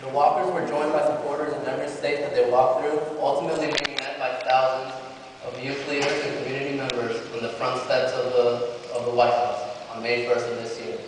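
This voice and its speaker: A man's voice: mid-range voice, flat delivery, fairly quick. A man giving a prepared speech to an audience as a presenter. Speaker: presenter at a student assembly. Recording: echoey hall, distant mic, faint noise.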